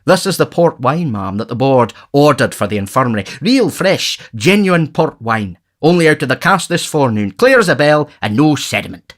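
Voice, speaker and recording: A man doing a pompous, booming parish beadle. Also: big pitch swings, normal speed, few pauses. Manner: pompous, lecturing, loud. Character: pompous self-important middle-aged male parish beadle. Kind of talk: audiobook character voice.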